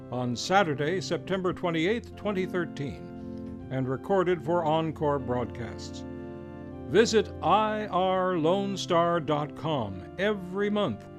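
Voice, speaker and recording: A man with a fairly high voice announces in classic old-time radio style. His delivery is formal and theatrical, leaning on key words with deliberate emphasis. Guitar music plays clearly behind him. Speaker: announcer and host. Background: plucked guitar music.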